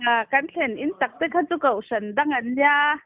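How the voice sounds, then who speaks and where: A woman's voice: high-pitched, normal speed. A woman chatting casually on a phone call, heard over a tinny, narrow telephone line. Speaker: phone caller.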